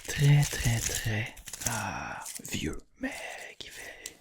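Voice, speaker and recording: A man with a Quebec French accent doing an ASMR roleplay as an attentive tailor, in a breathy whisper, repeating words and phrases soothingly. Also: mid-range voice, sing-song, drawn-out words.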